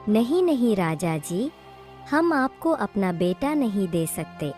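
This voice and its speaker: A woman acts out a humble mother in a cartoon moral tale. She pleads very slowly, drawing out each phrase with imploring deference. Music plays softly behind her. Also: medium pitch, very animated. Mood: pleading, anxious. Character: pleading mother of a baby son, humbly refusing a king. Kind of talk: cartoon moral story acting.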